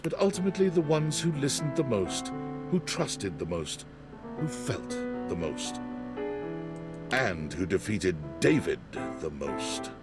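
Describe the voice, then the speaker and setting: An actor reading the ending narration of a video game in a measured, expressive way. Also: male voice, fairly low pitch. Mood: tender. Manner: measured, expressive delivery with lingering emphasis. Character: calm adult male storybook narrator. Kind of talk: scripted epilogue narration. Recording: game audio over loud music.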